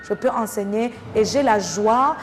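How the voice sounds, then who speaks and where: A woman's voice: a little high, a little slow, soft background music. A woman from Rwanda with a Rwandan French accent, answering interview questions reflectively, with hesitant phrasing. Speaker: interview guest, French language teacher. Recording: noisy, echoing hall sound with long reverb.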